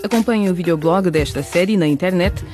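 A man's voice: sits high, brisk. A man narrating as the announcer of a radio serial, reading scripted outros and listener information. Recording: music under the voice.

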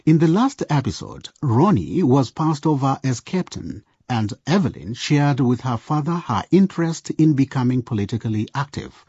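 A male presenter narrates a radio drama, speaking straight to the listeners. His voice is dark and husky, recorded very dry and a little dull. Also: mid-range voice, big pitch swings, moderate pace, hardly any pauses, no room sound. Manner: storyteller-like, addressing listeners directly.

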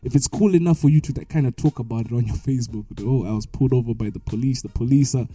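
Dark-voiced Zimbabwean man debating. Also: mid-range voice, breathy edge, no room sound. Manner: talks over others, quick restarts. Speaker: podcast co-host. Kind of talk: casual debate.

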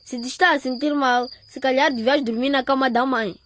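A woman's voice: high-pitched, fairly even intonation, fairly quick, a bit bright. A woman playing a young boy, blunt and caring, in a radio drama. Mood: concerned. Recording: noisy track, chirping insects.

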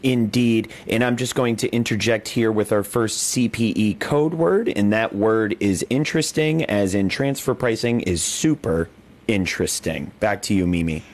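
A man's voice: lowish voice, moderate pace. A man co-hosting a podcast, stepping in with a light, prepared announcement. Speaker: podcast co-host. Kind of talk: light scripted interjection.

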